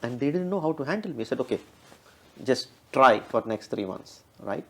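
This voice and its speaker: A man's voice: medium pitch, animated, fairly bright tone. A man with a heavy Indian accent, telling stories as an interview guest and often checking in with "right?". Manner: ends many sentences with a questioning "right?"; fast talking. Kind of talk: conversational interview storytelling.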